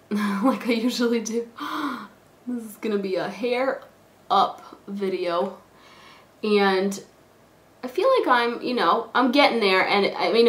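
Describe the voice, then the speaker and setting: Hoarse, stuffed-up young woman rambling. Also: medium pitch, a bit bright. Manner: rambling, filler-heavy, lots of "like" and "you know". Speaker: beauty vlogger. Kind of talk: casual chatty get-ready-with-me talk. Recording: echoey room.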